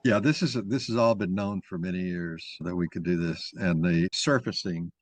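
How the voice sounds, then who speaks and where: A man with a very deep voice leads an informal discussion. He rambles and halts as he thinks aloud. The sound is very dry, with no room around it. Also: normal speed, no echo. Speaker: moderator of an online mock trial.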